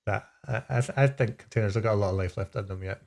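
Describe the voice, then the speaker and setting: A man hosting a livestream, relaxed and asking his guest open questions. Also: fairly low pitch, fast talker, gravelly. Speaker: livestream host. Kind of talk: casual interview chat.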